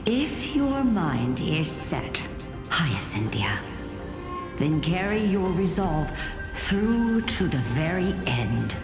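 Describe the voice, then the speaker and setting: An aged grandmother recounts legends for a fantasy game with tender gravity. She speaks slowly and dramatically, weighing each phrase. Her voice rings with clear reverb over prominent music. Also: low voice, very animated, full character voice. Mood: tender, solemn. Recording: reverberant studio voice under music.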